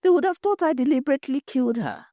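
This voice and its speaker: A woman's voice: sits high, big pitch swings, racing, warm tone, no echo, studio quality, voice pushed up. A woman voicing a tearful, guilt-ridden wife in an audio story, pleading and faltering as she confesses. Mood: distraught. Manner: wailing, pleading, faltering repetitions.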